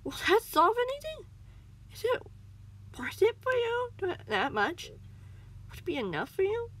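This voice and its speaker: A woman's voice: on the high side, animated, moderate pace, a bit bright, pitched above the performer's own voice. A woman voicing a frightened but defiant male cat in a story, nervous and pleading, with gasping delivery.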